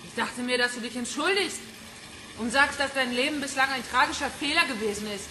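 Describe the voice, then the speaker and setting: A woman's voice: high-pitched, fairly quick, natural voice. An actress playing a vengeful young female hacker in a radio crime drama, controlled and taunting. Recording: echoey room with faint noise.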